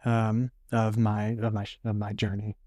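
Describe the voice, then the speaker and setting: A man's voice: fairly low pitch, monotone, measured pace, darkish tone, no room sound. Young man, dry close sound. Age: young adult. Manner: candid storytelling with many fillers and laughs. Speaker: podcast guest, stroke survivor. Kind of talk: casual conversation.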